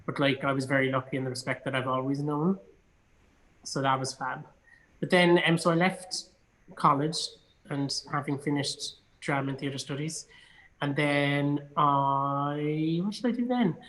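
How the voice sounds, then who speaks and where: A man's voice: on the high side, unhurried, fairly bright tone, low-quality recording. An Irish man from Cork chatting casually and enthusiastically as an interview guest. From Cork, Ireland. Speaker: actor and theatre company artistic director being interviewed. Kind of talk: casual chat.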